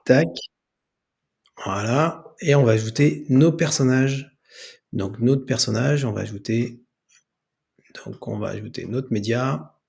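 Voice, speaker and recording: A man presents an improvised screen tutorial. His medium-pitched voice is gravelly and very slow. He talks through each step aloud in a hesitant way. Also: darkish tone. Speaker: tutorial host.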